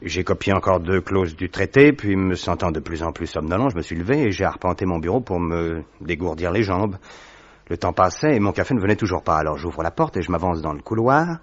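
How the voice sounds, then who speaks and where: A man voicing a worried young male government clerk in a radio drama, recounting events in a measured, hesitant way. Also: deep voice, expressive. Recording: dull muffled audio recording.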